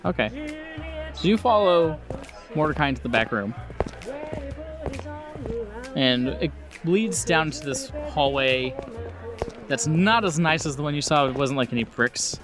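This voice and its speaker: A man's voice: a little high, animated, a little slow, pauses often, music in the background. A man narrating a tabletop roleplaying game as the game master.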